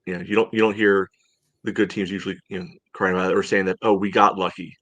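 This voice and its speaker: A man hosting a soccer podcast, chatting casually through match analysis in a halting way full of filler phrases. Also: low voice, little pitch movement, fairly bright tone, no echo. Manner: halting, peppered with "you know".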